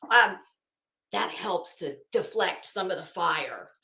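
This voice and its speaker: A woman host reading aloud and adding sarcastic, emphatic, halting commentary as she goes. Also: lowish voice, animated, creaky, sharp tone, a little hiss or hum. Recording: echoey room sound.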